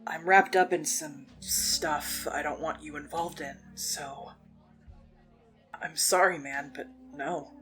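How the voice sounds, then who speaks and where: A woman playing a gruff, guarded teenage girl in an audio roleplay, with a blunt, slangy way of talking. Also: sits low, fairly even intonation, takes it slowly, a bit bright, faint music behind. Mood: guarded, reluctant.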